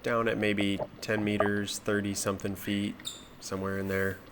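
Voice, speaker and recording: A man with a cold and a stuffed-up nose, narrating his spearfishing dive footage in a relaxed, matter-of-fact way. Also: on the low side, normal speed, background noise. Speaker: spearfishing vlogger.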